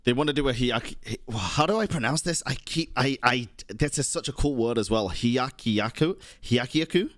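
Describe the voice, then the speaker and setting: Male game streamer, informal live reactions, mid-pitched voice, dry close-mic sound. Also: lively intonation, moderate pace, hardly any pauses, fairly bright tone, no echo. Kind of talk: casual live reaction commentary.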